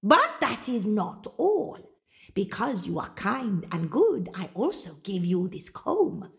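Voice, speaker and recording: Storybook ogress, old and huge, female performer, growly and commanding, very drawn-out pacing with swooping pitch. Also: sing-song, vocal fry, full character voice. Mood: kindly, generous. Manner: slow, drawn-out, growling delivery. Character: hulking old ogress, gruff, menacing, sometimes kind.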